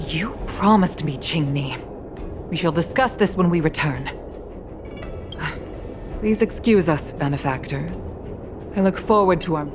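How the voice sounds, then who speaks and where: An actress playing a stern, formal and protective mother who holds high command in a video game. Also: natural voice. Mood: stern, restrained. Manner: formal, polite, measured. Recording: music behind the voice.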